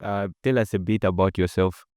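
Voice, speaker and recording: Male podcast host, low smooth voice, relaxed and affirming, dry close mic. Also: brisk, dry recording. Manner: relaxed, affirming, short "yeah" responses. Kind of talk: casual podcast interview.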